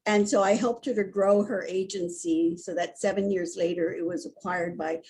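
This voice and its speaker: A woman in her sixties from Hawaii, speaking as a guest in an animated, candid conversation full of restarts and asides. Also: fairly low pitch, normal speed, slight background noise. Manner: animated and candid, with frequent restarts and "you know". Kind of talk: conversational storytelling.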